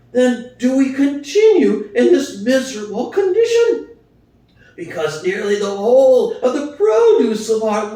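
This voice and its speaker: An aged boar, performed by a man, speaks like a revolutionary orator, his voice leaping high and low as he drives each point home. He speaks slowly and deliberately. The reading comes from a far-off mic in a very echoey room. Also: darkish tone, full character voice. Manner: impassioned speechmaking, rhetorical questions, swelling emphasis. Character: old prize boar, wise, rousing revolutionary orator. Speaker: reader. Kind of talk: dramatic audiobook reading.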